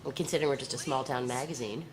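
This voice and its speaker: A woman's voice: very deep voice, racing, very noisy. An actress playing a brash, irreverent woman writer with a blunt, teasing, sardonic way of talking in an audio drama. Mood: amused, boastful. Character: brash, irreverent, bossy young female magazine writer. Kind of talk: naturalistic audio drama dialogue.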